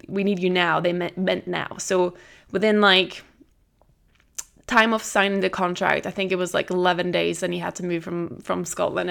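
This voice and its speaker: Chatty woman with vocal fry. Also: mid-range voice. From Norway. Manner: chatty, frequent "like" fillers, excited retelling. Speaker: podcast host. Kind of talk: casual solo podcast chat.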